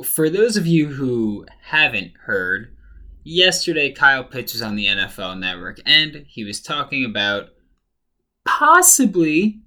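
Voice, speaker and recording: A man hosting a sports podcast, chatty and opinionated, his voice slightly hoarse from talking a lot. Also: medium pitch, bright, forceful tone. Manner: chatty and opinionated, thinks aloud. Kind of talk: casual sports commentary.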